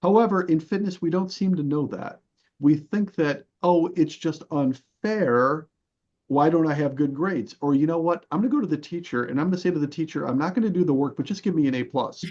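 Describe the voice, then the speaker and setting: A man's voice: a little high, brisk, a little mellow. A man giving explanatory interview talk as a guest coach, in a didactic, emphatic manner with rhetorical questions. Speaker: podcast guest, health and fitness coach. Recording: dull, band-limited audio.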